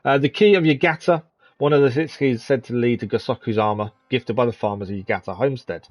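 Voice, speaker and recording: A man's voice: mid-range voice, fast, no echo. Chatty man in his thirties streaming. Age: 30s. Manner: chatty, muttering at enemies, answering chat. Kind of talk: live gameplay commentary.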